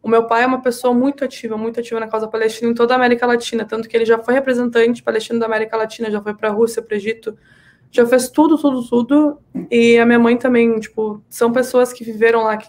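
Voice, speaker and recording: A woman's voice: a little high, level tone, quick pace, darkish tone. A woman with a southern Brazilian accent, chatting casually as a podcast guest and telling personal stories in an emphatic way, repeating words for emphasis. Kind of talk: casual, animated personal storytelling in conversation.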